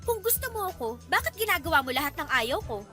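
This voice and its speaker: An indignant young woman in a radio drama, high and piercing. She scolds and challenges with open scorn, over a music bed. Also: very animated, fast, bright, forceful tone, exaggerated voice. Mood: indignant, scornful. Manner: confrontational, scornful. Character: angry, scolding young woman confronting a suitor. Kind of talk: Philippine radio drama acting.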